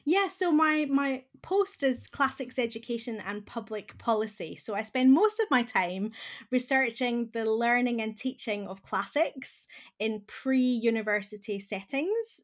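A woman with a Scottish accent, a guest academic answering podcast interview questions in a measured, explanatory way. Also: fairly high pitch. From Scotland. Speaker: guest classicist.

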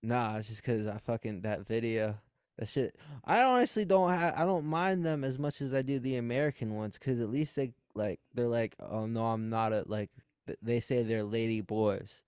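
A young man trading crude banter with friends on a podcast, laughing through his stories and slipping into silly voices. His voice is smooth and clear. The sound is dry and close, with no room echo. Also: mid-range voice, expressive, normal speed, a little mellow. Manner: laughs often, mimics voices when telling stories. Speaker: podcast co-host.

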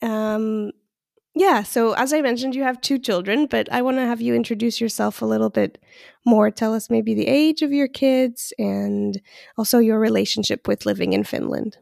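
A woman hosting a birth story podcast as a doula, friendly and quick to laugh, with many fillers. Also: fairly high pitch, creaky, a little mellow, no echo, clean studio sound. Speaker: podcast host and postpartum doula. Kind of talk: podcast interview with scripted intro.

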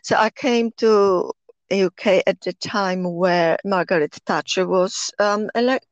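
A woman's voice: mid-range voice, measured pace, no room sound, dull audio. Polish-accented woman in her sixties, earnest. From Poland. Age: 60s. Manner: earnest, persuasive, repeats herself while thinking. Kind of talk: casual podcast interview.

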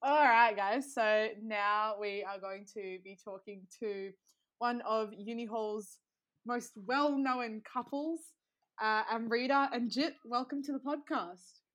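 A woman's voice: a little high, takes it slowly, a bit bright, rough recording. A young woman hosting a casual podcast, chatty and upbeat with plenty of fillers. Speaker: podcast host.

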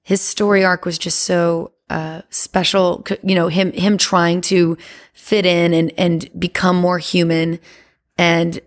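A woman guest on a podcast, chatting eagerly as a fan and rambling with lots of fillers. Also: on the low side. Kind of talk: casual chat.

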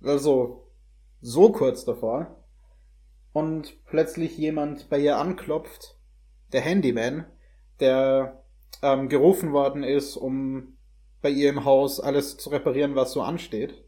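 Mellow-voiced young man in casual talk. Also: medium pitch, steady tone, takes it slowly. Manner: hesitant phrasing mixed with English slang words. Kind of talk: casual conversational film review.

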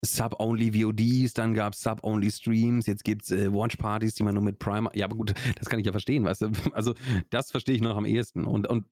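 Swabian guy rambling fast on a podcast, lowish voice, dry close sound. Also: flat delivery, a little mellow, no room sound. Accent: Swabian German. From Augsburg, Swabia, Germany. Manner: chatty, rambling, lots of fillers and restarts. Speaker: podcast co-host. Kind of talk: casual chat.

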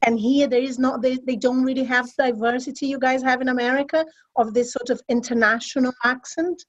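A woman's voice: sits high, fairly even intonation, brisk. A Brazilian-born woman whose first language is Portuguese, speaking English with a British-tinged accent in a reflective, hedging way during a casual roundtable. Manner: reflective, many hedging fillers. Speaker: voice-over artist. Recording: muffled lo-fi audio.

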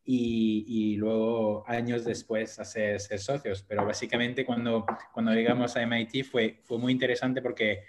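A man's voice: mid-range voice, little pitch movement, normal speed, few pauses. A man with a French accent, a startup co-founder guest on a podcast, speaking hesitantly and repeating words as he searches for phrasing. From Brazil and France. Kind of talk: conversational podcast interview.

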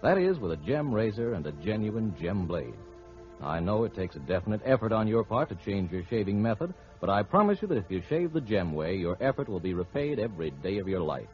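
A man announcing on 1940s radio, low-pitched with lively rises and falls. He is a polished, persuasive salesman and narrator. Light music runs behind the muffled old recording. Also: fairly quick.

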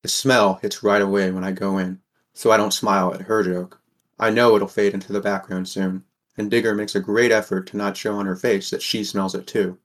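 A man's voice: lowish voice, little pitch movement, a little fast, a bit bright, lightly acted. A man playing a weary, wry sixteen-year-old boy from a poor mining town, narrating a fan audio drama in the first person. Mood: somber.

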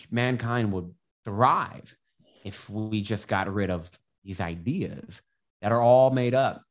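Man with a slight lisp, animated. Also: medium pitch. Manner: animated, swearing, swings from loud to hushed. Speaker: podcast guest, transformation coach. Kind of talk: casual podcast conversation.

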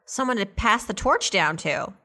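A woman's voice: medium pitch, animated, moderate pace, bright, forceful tone. A woman hosting a podcast, talking with her guest in a conversational interview style.

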